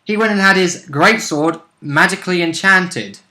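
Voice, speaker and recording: Guy co-hosting a game recap, slightly high bright voice, jokey and sweary. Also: normal speed. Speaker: co-host of a tabletop role-play recap show. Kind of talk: casual chat with swearing and joking.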